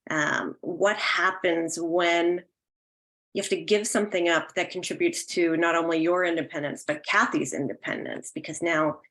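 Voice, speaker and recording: Low-pitched woman hosting a session. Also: moderate pace, a bit bright. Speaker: host of listening session. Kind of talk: announcing and casual event hosting.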